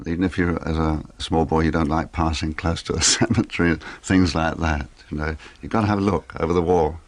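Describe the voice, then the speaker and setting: A man, a biographer, reminiscing in a relaxed interview. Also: a bass voice, a little fast, dry recording.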